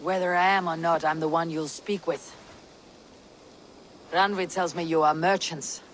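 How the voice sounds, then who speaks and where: A woman playing a self-assured Viking warrior leader in a video game, direct and measured. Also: deep voice, steady tone, slight background noise. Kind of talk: video game cutscene acting.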